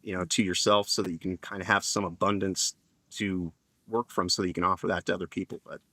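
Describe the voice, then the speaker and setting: A man's voice: low-pitched, little pitch movement, quick pace, sharp tone, dry recording. A man on a casual panel stream telling a long personal story, rambling with lots of fillers and restarts.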